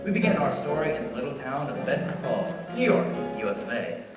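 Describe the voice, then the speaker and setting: A showy old-time radio host played by a man speaks from far off in a very reverberant hall, with loud music around him. He announces theatrically, in a lively, highish voice. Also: a little fast, lightly acted. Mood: showy, welcoming. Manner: theatrical, declamatory. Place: stage in a hall before an audience.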